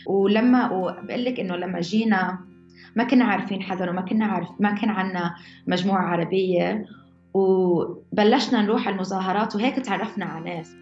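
A woman's voice: medium pitch, fast talker, darkish tone. A young woman with a mixed Levantine Arabic accent telling her own story casually, often restarting mid-phrase. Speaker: interviewee. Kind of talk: personal storytelling. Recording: roomy, slightly dull, music under.

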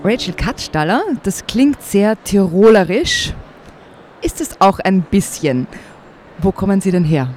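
A woman interviewing a guest for the radio, asking questions in a slow, friendly manner. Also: mid-range voice, expressive, darkish tone, faint music behind. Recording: faint street noise behind.

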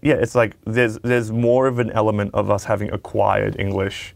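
Low, gravelly-voiced man chatting. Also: monotone, moderate pace, dry recording. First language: Mandarin. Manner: chatty, swearing, many fillers and restarts. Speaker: podcast co-host. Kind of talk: casual chat.

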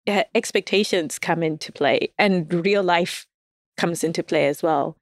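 A woman's voice: on the low side, unhurried, no echo, clean studio sound. A woman guest in casual conversation, candid and self-deprecating, laughing often as she talks. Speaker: podcast guest. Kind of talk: casual dating chat.

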